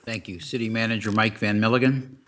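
A man, the city manager, reading a formal recommendation in a measured way in a council chamber. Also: fairly low pitch, steady tone, fairly bright tone. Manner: formal, measured, reads from text.

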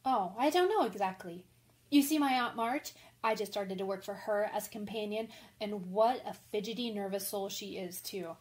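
A woman's voice: mid-range voice, moderate pace, a little mellow, lightly acted. A woman playing an impulsive, frank, chatty young tomboy writer in a radio drama. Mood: chatty, flustered. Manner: breathless, rambling, candid.

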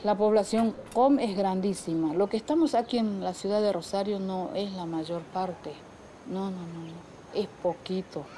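A middle-aged woman, recalling her life conversationally and haltingly, searching for words. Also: medium pitch, noisy background. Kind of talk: conversational personal recollection. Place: leafy patio, outdoors.

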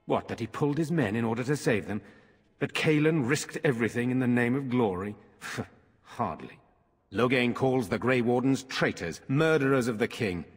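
Husky fantasy game lord, grave and formal, big echoey hall. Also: male voice, mid-range voice, expressive. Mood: earnest, wry. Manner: formal, earnest, measured. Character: grave, courteous noble lord defending his village. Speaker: game character. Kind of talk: dramatic fantasy game acting. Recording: reverberant stone hall.

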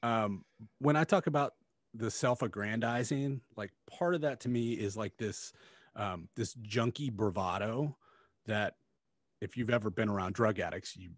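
A man's voice: lowish voice, measured pace, no room sound, studio quality. A man in his forties co-hosting a casual review podcast, talking bluntly and critically with plenty of swearing. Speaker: podcast co-host. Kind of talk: casual book review chat. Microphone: close studio mic on stand, headphones.

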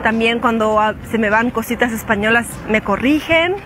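A woman's voice: on the high side, moderate pace. Young Catalan woman, put-on Mexican accent. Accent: Spanish speaker using a Mexican accent. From Catalonia, Spain. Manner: relaxed, laughs between sentences. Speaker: actress, interviewee. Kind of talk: casual interview. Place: outdoors in a city park. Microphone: lapel mic. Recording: outdoor street noise behind.

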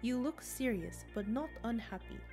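A woman's voice: on the high side, lively intonation, normal speed, warm tone, soft background music. A woman putting on the voice of an old female fortune teller in a video game, with a loose Russian accent and a theatrical, mystical manner.

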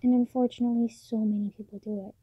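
A teenage woman talking softly late at night in her bedroom, halting with many fillers, recorded on a built-in mic. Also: a little high, little pitch movement, slightly rough, no room sound. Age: late teens. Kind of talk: late-night personal vlog. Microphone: phone or camera built-in mic, close. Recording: dull lo-fi built-in mic, dry.

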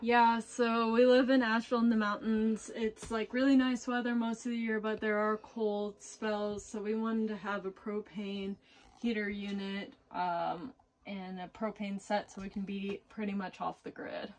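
A young woman giving a casual, relaxed tour of her small wooden house, chatting with fillers and little laughs, filmed on a handheld phone indoors. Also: medium pitch, moderate pace, rough recording.

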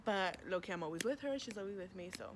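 A woman in her twenties co-hosts a casual vlog in a mid-pitched voice. She talks in slang with swearing and drops in Spanish. The outdoor recording has clear ambient noise. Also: expressive, quick pace. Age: 20s. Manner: slangy, profane, slips into Spanish. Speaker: vlog co-host. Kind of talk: casual chat answering questions. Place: outdoors by a parking area.

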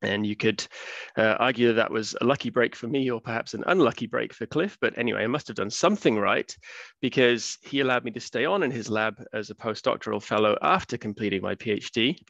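A man from Wales in his thirties giving a relaxed science talk on a video call, with many fillers. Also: mid-range voice, fairly quick, creaky, no room sound. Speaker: research fellow giving a public talk. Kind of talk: academic webinar lecture. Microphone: computer mic on video call. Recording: dull, dry webinar audio.

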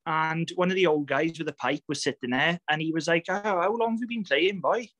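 A Welsh man in his thirties tells his story in easy, chatty talk, with frequent laughs and plenty of ums. His voice sits a little high for a man. The sound is close and dry, as over a video call. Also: fairly quick, a bit bright, no echo. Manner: chatty, laughs often, many fillers. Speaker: podcast guest, greenkeeper. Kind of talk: casual storytelling chat.

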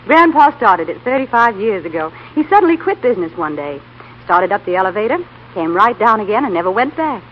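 A woman playing a sensible, affectionate young woman in love, gentle but firm, in 1930s radio acting style. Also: mid-range voice, very animated, fairly quick, natural voice. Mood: fond, amused. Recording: muffled, hissy 1930s radio broadcast.

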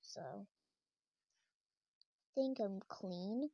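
A woman's voice: mid-range voice, drawn-out words, gravelly, dark tone, dry recording, highs cut off. A young teenage girl giving a rambling, casual tour of her game house while filming a tablet screen, with lots of fillers and restarts.

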